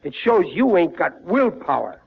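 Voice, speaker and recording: A man's voice: high voice, very animated, normal speed, creaky, slightly rough, full character voice. An actor playing a good-natured, blustering middle-aged working man with folksy, ungrammatical speech in a dull vintage 1940s radio sitcom recording. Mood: preachy.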